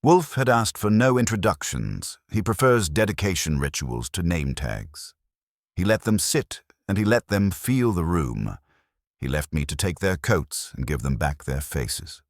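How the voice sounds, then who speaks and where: A man reading a scripted first-person detective story in a wry, measured way. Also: low voice, very animated, dry recording, clean studio sound. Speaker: audiobook narrator.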